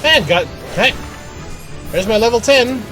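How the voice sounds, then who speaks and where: A man's voice: very high-pitched, lively intonation, a little slow, a bit bright. A man giving casual live commentary as he plays a game, easygoing, thinking aloud in fragments and reading out on-screen text. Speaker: game streamer playing and commenting. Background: video game music.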